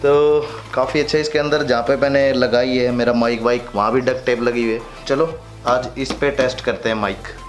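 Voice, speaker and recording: A man talks in a casual, chatty vlog style. His voice sits at an ordinary male pitch, with faint music playing behind him. He speaks colloquially, as a motorcycle vlogger would to his followers. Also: steady tone, moderate pace. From India.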